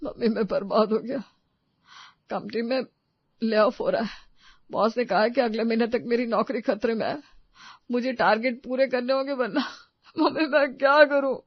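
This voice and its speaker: A man's voice: extremely high pitch, animated, moderate pace, vocal fry, husky, no room sound, dull audio, full character voice. A man voicing an anxious, despairing grown son in a storybook family tale, pleading and fretful. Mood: tearful, afraid.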